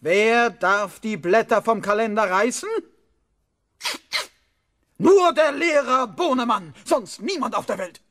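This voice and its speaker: A man's voice: very high voice, animated, slow, pauses often, a bit bright, heavily acted. An actor playing a stern, scolding male schoolteacher in a children's radio play, speaking emphatically. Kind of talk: 1970s German children's radio play acting.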